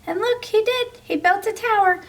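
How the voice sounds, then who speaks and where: A woman reading a picture book aloud to children, animated and pausing to ask her young listeners questions. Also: very high-pitched, far from the mic. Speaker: story reader.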